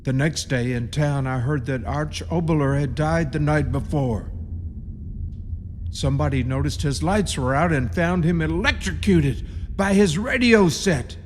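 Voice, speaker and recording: An actor playing an eerie rural caretaker of a haunted tomb in a radio play, telling it in a halting, ominous, folksy way. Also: male voice, a little high, close to an everyday voice. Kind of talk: radio play horror storytelling. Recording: reverberant radio drama, audible background noise.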